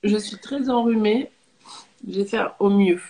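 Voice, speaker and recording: A woman hosting a live interview, nasal and hoarse from a cold, welcoming her guest with many fillers. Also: medium pitch, measured pace, mellow, poor audio. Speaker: host, life coach.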